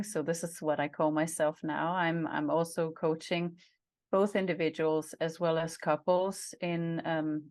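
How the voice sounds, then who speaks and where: A woman in her fifties with a low voice talks in a measured, thoughtful way, pausing often. She speaks into a headset on a video call from a small home office. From Denmark. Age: 50s. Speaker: guest, intimacy and relationship coach. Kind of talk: casual video call interview.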